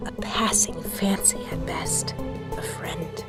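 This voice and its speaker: A woman playing a haughty, scheming rich teenage girl in a fan audio drama, speaking in a breathy, arch near-whisper. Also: slow pace, lightly acted. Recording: music bed behind voice.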